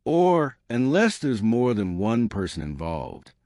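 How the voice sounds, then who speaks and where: A man voicing an elderly woman detective in an audiobook mystery, quiet, measured and shrewd. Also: medium pitch, very animated, no room sound, clean studio sound. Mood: thoughtful.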